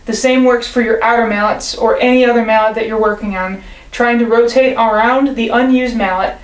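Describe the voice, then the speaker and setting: A young woman calmly and patiently explaining a percussion technique, recorded at home by a camera a few feet away. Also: a little high, fairly quick, reverberant, poor audio. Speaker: percussion teacher. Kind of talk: instructional demonstration. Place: living room at home.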